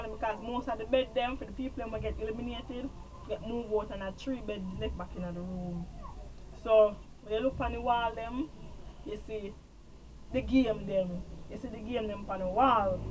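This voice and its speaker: Chatty Jamaican woman, high voice. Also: slight background noise, poor audio. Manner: rambling, repeats herself, trails off with "blah, blah". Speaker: TV show reviewer. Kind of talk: casual show recap.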